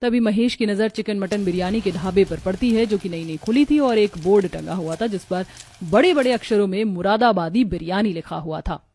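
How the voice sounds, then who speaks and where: A woman's voice: medium pitch, a little fast, mellow, a little hiss or hum. A woman giving scripted, dramatic storytelling narration for a moral tale.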